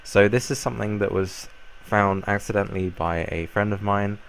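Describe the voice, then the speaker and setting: A young man with a croaky voice from a cold, casually explaining a game build in a rambling way. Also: lowish voice, moderate pace, slight background noise. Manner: relaxed, rambling explanation with fillers. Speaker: Minecraft YouTuber. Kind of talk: casual gameplay tutorial.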